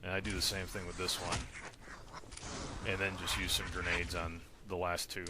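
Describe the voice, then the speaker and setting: A man's voice: low-pitched, little pitch movement, normal speed, very noisy. A man giving plain, step-by-step commentary for a video game walkthrough. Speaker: walkthrough narrator.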